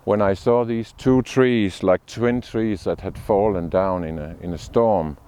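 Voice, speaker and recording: A man from Denmark, a sculptor, speaking in a documentary interview with thoughtful, unhurried restarts. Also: fairly low pitch, dark tone, slight background noise. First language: Danish.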